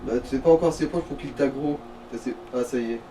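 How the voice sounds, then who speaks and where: A man's voice: medium pitch, quick pace. Casting: male gaming co-commentator, teasing slang, slightly distant from the mic, faint noise behind. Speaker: let's play co-commentator. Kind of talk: casual gaming banter.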